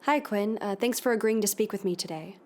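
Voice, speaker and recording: A woman's voice: medium pitch, close to an everyday voice. Actress as a determined woman investigative journalist. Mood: earnest, tentative. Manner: deliberate, earnest. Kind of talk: audio drama, scripted investigative podcast narration.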